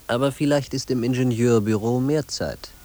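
An actor playing a cool, faintly wry guide who narrates a radio play in a measured, matter-of-fact way. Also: male voice, medium pitch, mellow, lightly acted. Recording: hissy old radio play recording.

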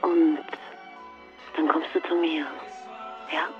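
An actress playing a worried, apologetic wife, subdued and pleading, heard through a telephone filter in a radio play. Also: female voice, sits low, flat delivery, slow, music in the background. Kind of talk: naturalistic radio play acting. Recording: tinny phone line.

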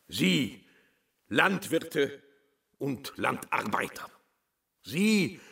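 A pompous provincial official in a radio drama, heard from a distance. His voice is bright and forceful, set rather high, as he declaims his grand phrases with slow ceremony. The delivery is formal public oratory. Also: creaky, heavily acted. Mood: fervent, pompous. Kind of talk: radio play acting, formal public oration. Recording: voice far from the mic.

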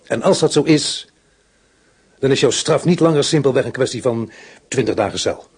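An actor playing a shrewd, calm male police inspector who questions in a probing, measured, dry way, in a radio play. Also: medium pitch, breathy edge.

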